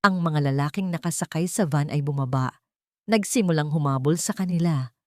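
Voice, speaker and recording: A woman's voice: low-pitched, moderate pace, dark tone, no echo, clean studio sound. A woman reading a scripted dramatic story as an audiobook narrator.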